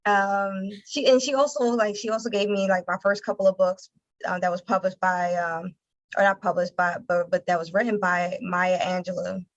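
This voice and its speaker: An American woman from Philadelphia speaks as an interview guest. Her pitch sits at an average height and stays fairly even, with halting, repeated words as she thinks. The recording is slightly dull and lo-fi. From Philadelphia, United States. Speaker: interview guest, nonprofit communications director. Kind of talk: conversational interview answers.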